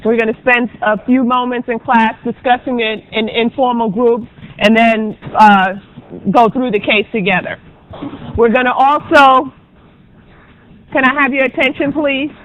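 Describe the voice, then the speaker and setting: A woman professor giving a classroom lecture in a loud, brisk, chatty way, with frequent ums. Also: on the high side, fairly even intonation, a little hiss or hum. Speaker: auditing professor. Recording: dull lo-fi lecture recording.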